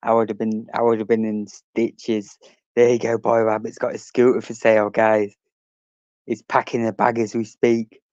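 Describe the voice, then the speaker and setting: A man's voice: fairly low pitch, completely flat delivery, normal speed, a little mellow, no echo, lo-fi sound. A man hosting a casual livestream, chatting and reading out messages from his chat, swearing now and then.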